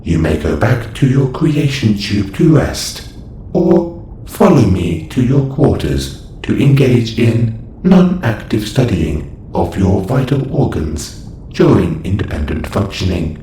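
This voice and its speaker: A man's voice: medium pitch, sing-song, slow, dark tone. A man playing a formal, clinical caretaker robot in a sci-fi audio roleplay, speaking in stilted, precise phrases. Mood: clinical, advisory. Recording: roomy echo, faint background noise.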